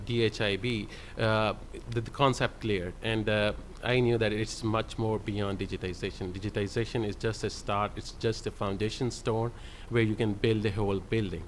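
A man's voice: lowish voice, slight background noise. A man speaking on a conference panel as a librarian, hesitant with many fillers. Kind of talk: panel presentation. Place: conference hall panel table. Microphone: table microphone.

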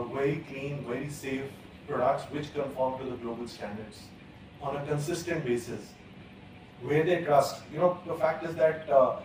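A man, speaking as a panelist at a conference in a measured way, with many pauses and fillers. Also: a little high, darkish tone, a little hiss or hum. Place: large conference hall, at a panel table. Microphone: table gooseneck mic. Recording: echoey hall, distant from the mic.

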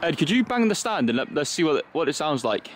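A man's voice: on the high side, fast. A man, chatting and joking as he hosts a vlog from a small outdoor football stand. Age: 20s. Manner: jokey, animated. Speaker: vlog host, football fan. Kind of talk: casual chat and banter at a football match. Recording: loud music over the voice.

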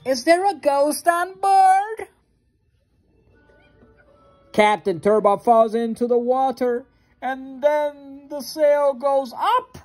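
An expressive reader with a sing-song delivery, reading a children's picture book aloud into a phone at home. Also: sits high, slow pace, long pauses, soft background music. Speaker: storybook reader. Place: carpeted playroom at home. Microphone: handheld phone near the reader.

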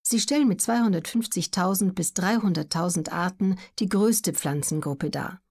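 A woman reading scripted educational narration in a measured, neutral, carefully articulated way. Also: lowish voice, a little mellow, slightly rough. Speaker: audiobook narrator.

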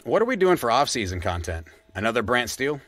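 A man's voice: mid-range voice, big pitch swings, fast talker, a bit bright, slight background noise. An actor playing a wry, exasperated male podcast partner, grumbling in naturalistic audio drama dialogue.

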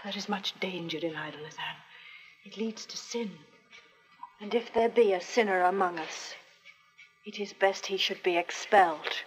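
A woman's voice: medium pitch, normal speed, soft background music, natural voice, poor audio. An actress playing a stern, judgmental Puritan village woman, moralizing in archaic speech. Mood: cold, moralizing. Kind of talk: period drama film acting.

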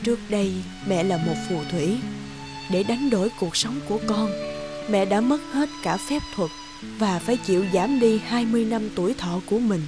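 Tender old witch mother, lower voice by a woman. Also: music in the background, lightly acted. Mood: tender sorrow. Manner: tender, slow sorrowful confession. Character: gentle, dying old former witch, devoted mother.

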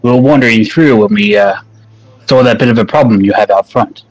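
A man's voice: fairly low pitch, fairly quick, low-quality recording. A man playing a character in a tabletop game, eager and self-mocking. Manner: eager, laughs at himself. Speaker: player. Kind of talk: casual tabletop roleplay.

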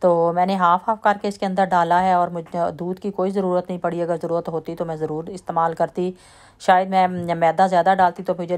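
A woman with a slight lilt, chatting in a relaxed way as she walks through a home baking recipe step by step. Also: lowish voice. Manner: relaxed, chatty, trails off softly at sentence ends. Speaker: home cooking vlogger. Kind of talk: casual step-by-step baking talk.

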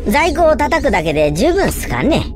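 A woman's voice: high-pitched, sing-song, brisk. A woman voicing a small, polite, earnest robot sidekick in a video game, matter-of-fact, with clipped, deferential speech. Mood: analytical. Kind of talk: video game cutscene acting. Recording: music behind the voice.

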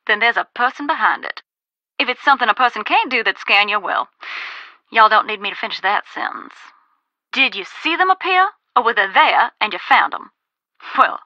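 An actress playing a folksy, cautious former ghost hunter in a video game, with a Southern US accent and a drawling, cautionary manner. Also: female voice, fairly high pitch, pushed and bright, no room sound, lo-fi sound. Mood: folksy, matter-of-fact. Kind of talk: video game dialogue, conversational phone-call acting.

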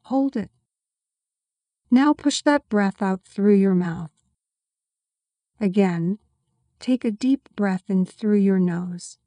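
A woman reading a scripted guided meditation as the guide, with measured, instructional delivery. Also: medium pitch, warm tone, dry recording, clean studio sound.

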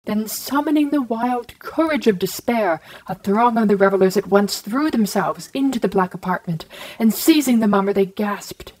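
A woman giving a solemn, theatrical, drawn-out dramatic reading of literary prose. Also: medium pitch, darkish tone, poor audio. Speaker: story reader.

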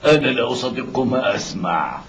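An actor playing a humble, pious, blind old father in a dramatic cartoon dub, with groans and drawn-out exclamations. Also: mid-range voice, lively intonation, fairly bright tone, slight background noise, close to an everyday voice. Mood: sorrowful disbelief. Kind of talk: animated drama dub acting. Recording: dull lo-fi cartoon soundtrack.

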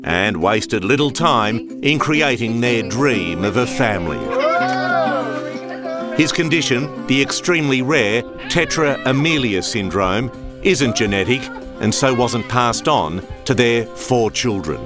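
A man reading a measured, polished TV news feature narration. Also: mid-range voice, lively intonation, over loud music. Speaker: reporter, narrator. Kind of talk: scripted TV narration.

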